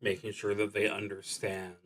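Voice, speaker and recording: A man streaming a solo tabletop roleplaying game, reading prompts and thinking aloud in a halting, hesitant way that trails off. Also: fairly low pitch, little pitch movement, fairly bright tone.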